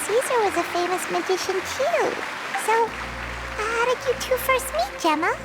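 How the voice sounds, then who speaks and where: A woman voicing a small, naive, excitable childlike fairy companion in a video game, squeaky and chirpy, with exclamatory talk. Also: moderate pace, over loud music, over a noisy crowd, poor audio. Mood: curious, sweet. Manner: speaks of herself in third person, exclamatory. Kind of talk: English video game voice acting.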